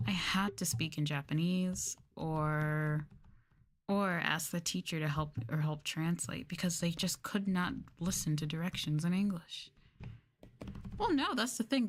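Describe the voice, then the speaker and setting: Low, soft-talking young woman streamer. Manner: candid, mildly sweary. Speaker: VTuber streamer. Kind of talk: soft-spoken ASMR casual chat.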